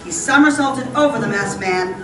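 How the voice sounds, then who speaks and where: A woman's voice: medium pitch, lively intonation, moderate pace. A woman narrator reading an old-time radio adventure in a theatrical, dramatic style. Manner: theatrical, occasional stumbles in reading. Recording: roomy distant stage pickup, loud music.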